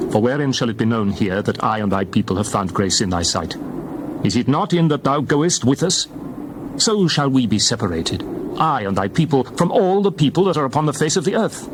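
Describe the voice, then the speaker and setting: A man's voice: mid-range voice, big pitch swings, moderate pace, natural voice. A man playing a humble prophet who pleads earnestly and formally in a dramatized scripture reading. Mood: earnest pleading. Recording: noisy background ambience.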